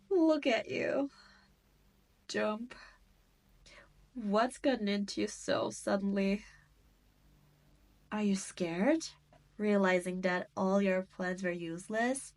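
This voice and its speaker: A woman's voice: mid-range voice, very slow, pauses often, a little mellow. A woman playing a cruel, gloating demon queen in a scripted audio roleplay, condescending and taunting. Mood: taunting, possessive.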